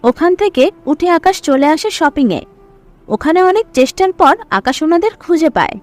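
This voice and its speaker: High-pitched, animated woman narrator. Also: moderate pace. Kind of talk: scripted story narration.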